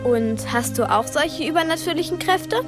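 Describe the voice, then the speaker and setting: A plucky girl of twelve in a radio play. The voice is youthful and fairly high, with a direct, curious, impatient way of talking. Music plays clearly behind it. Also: animated, moderate pace. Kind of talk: radio play acting and narration.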